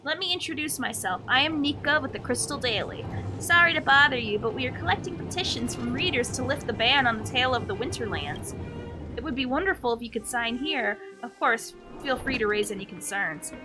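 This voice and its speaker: A woman's voice: on the high side, expressive, a little fast, music in the background, lightly acted. A woman voicing a polite, formal, bookish newspaper worker with a slightly condescending air in a video game. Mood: polite, earnest.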